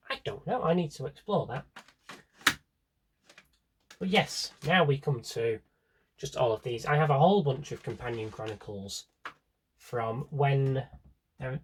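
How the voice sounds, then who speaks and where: A man with a slightly husky voice rambles casually to viewers as a collector, thinking aloud and interrupting himself in a wry way. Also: medium pitch, a little hiss or hum. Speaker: collector showing his audio drama CDs. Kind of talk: casual rambling vlog chat.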